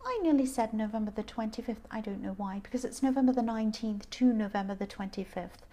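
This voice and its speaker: A woman with a slightly croaky, tired voice giving a casual tarot reading, gentle and meandering with many ums and restarts. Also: fairly high pitch, fast talker. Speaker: tarot reader.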